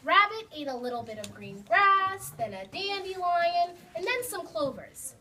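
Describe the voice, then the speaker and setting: A woman narrating a children's puppet show, animated and speaking to a young audience. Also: extremely high pitch, measured pace. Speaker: storyteller. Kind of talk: children's story reading. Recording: distant mic, faint noise.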